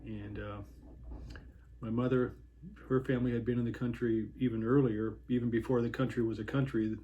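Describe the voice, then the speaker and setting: Man in his 60s, dark warm tone, halting storyteller with faint hiss behind. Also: mid-range voice, flat delivery, muffled recording. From Washington State, USA. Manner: rambling, many pauses and restarts. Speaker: interviewee, hiker. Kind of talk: casual reminiscing interview.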